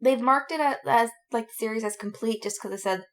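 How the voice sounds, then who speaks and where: A woman's voice: on the high side, brisk, a bit bright. A woman in casual podcast chat as a co-host, informal and slangy, with an enthusiastic way of talking.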